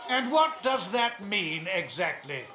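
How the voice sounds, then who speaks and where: A man's voice: high voice, very animated, slow pace, crowd noise, heavily acted. An actor playing a pompous, scornful male cleric in a fantasy video game, argumentative and indignant. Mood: sneering challenge. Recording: echoey space, faint ambient noise.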